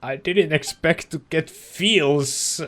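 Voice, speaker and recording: A woman giving casual let's play commentary, with emotionally invested, halting asides as she reacts to the story. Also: sits low, fairly bright tone. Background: faint game music.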